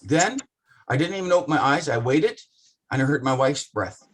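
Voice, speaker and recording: Husky-voiced man, blunt and swearing. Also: on the high side, animated, normal speed. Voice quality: rough voice. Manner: passionate, blunt, swears often. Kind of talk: casual business discussion.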